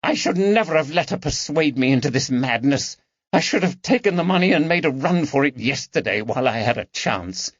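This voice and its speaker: A man's voice: fairly high pitch, animated, fairly quick, dry recording, lo-fi sound, voice pushed up. A man voicing a frightened, resentful young swindler in an audiobook, defensive and pleading. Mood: angry, resentful. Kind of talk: audiobook character dialogue.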